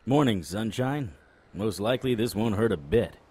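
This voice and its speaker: A man playing a wry, world-weary detective narrating a noir audio drama in clipped, deadpan phrases. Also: on the low side, normal speed, slightly rough. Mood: brisk, sardonic.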